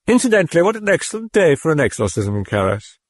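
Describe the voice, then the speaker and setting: A man voicing a sardonic demon possessing a girl, speaking in a high, mocking, falsely cordial voice. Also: sing-song, fast, no room sound, clean studio sound.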